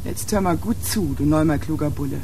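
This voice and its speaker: An actress playing a shrewd, self-assured nightclub owner with underworld ties in a radio crime drama. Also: female voice, deep voice, a little fast, natural voice. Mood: taunting, threatening. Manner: cool, self-assured, insolent. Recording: clear street and car ambience noise.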